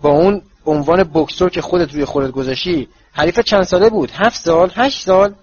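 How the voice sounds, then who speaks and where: A man's voice: medium pitch, steady tone, sharp tone, breathy edge, lo-fi sound. A man doing a sarcastic teen wizard. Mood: mocking. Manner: mocking, sarcastic. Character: defiant teenage boy wizard, mocking and angry.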